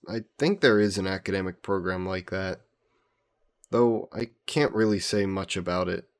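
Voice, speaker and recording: Low-voiced courtly vampire lord, played by a man. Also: natural voice. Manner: formal, genteel, measured phrasing. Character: ancient aristocratic male vampire, courtly, protective, gently teasing. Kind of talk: scripted romantic audio roleplay.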